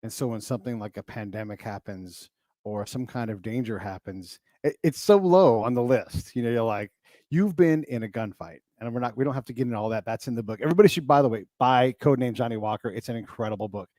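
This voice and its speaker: A man hosting an interview, asking animated, searching questions. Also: mid-range voice, fast, no room sound. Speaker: podcast host. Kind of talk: interview conversation.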